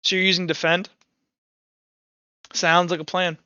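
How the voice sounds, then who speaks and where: A man with a high, crisp voice runs a tabletop game as its game master. He narrates informally and haltingly, with some animation. The recording is dry and slightly dull. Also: flat delivery, sharp tone, no room sound.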